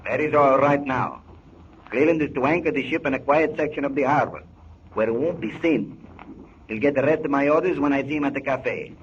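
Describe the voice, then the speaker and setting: An actor playing a terse, commanding criminal boss through a radio loudspeaker effect, in 1940s radio style. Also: male voice, medium pitch, a little fast. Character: commanding male criminal boss heard over ship radio. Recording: muffled noisy 1940 radio recording.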